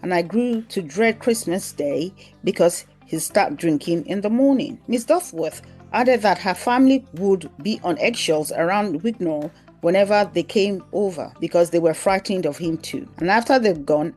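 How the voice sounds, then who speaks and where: A woman's voice: fairly low pitch, darkish tone. A woman narrating a scripted news article aloud as a newsreel presenter.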